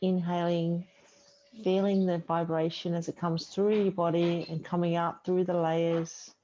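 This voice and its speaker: A woman yoga teacher leading a guided relaxation meditation in a soothing, unhurried way with long pauses. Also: fairly low pitch, level tone, dark tone. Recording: dull muffled audio, faint background noise.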